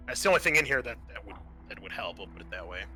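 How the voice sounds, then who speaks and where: Bright-voiced man running a tabletop game. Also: on the low side, sing-song, husky. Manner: halting, conversational. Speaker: game master. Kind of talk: improvised tabletop game narration. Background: background music.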